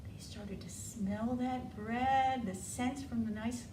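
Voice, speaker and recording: Slow-paced woman leading a children's storytime. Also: a little high, steady tone, mellow. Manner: coaxing, asking the listeners questions. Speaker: children's library storytime host. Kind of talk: storytelling and rhymes for young children. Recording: echoey room, distant mic, clear noise.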